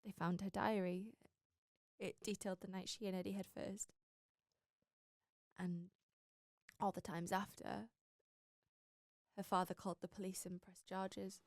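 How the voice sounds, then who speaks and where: Firm, earnest British sister weighed down by guilt, played by an actress; medium register, dry close sound, drama role. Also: female voice, medium pitch, level tone, unhurried, long pauses, no room sound, natural voice. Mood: guilty, confessing. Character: protective, guilt-ridden sister defending her brother. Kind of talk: British audio drama acting.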